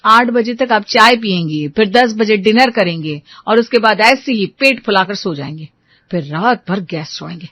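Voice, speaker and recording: A woman's voice: mid-range voice, animated, normal speed, a bit bright, no room sound. A woman voicing a strict, fed-up young daughter-in-law, firm and lecturing, in a family story. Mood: fed up, stern.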